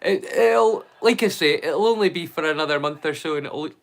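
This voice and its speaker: Guy hosting a livestream, voice a bit on the high side, rambly casual chat, keeps restarting his sentences. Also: normal speed. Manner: rambling, stop-start, repeats words mid-sentence. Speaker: livestream host.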